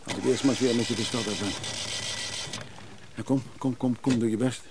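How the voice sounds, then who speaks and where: A man's voice: mid-range voice, fairly even intonation, brisk, natural voice. An actor playing a grumbling, down-at-heel boat owner in a radio drama, muttering to himself as he coaxes a stubborn engine. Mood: muttering, coaxing. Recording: noisy radio play boat scene. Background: boat sounds and engine noise.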